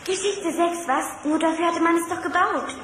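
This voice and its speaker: A woman's voice: very high voice, moderate pace, background noise. A woman playing a teasing, know-it-all teenage girl cousin in a German radio play. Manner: teasing, mocking. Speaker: voice actor. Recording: echoey room with music behind.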